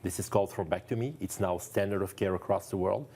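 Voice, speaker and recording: A man's voice: deep voice, quick pace, mellow, slightly rough. A man from France with a French accent, a neurologist guest speaking earnestly and enthusiastically in a TV studio interview, repeating phrases for emphasis.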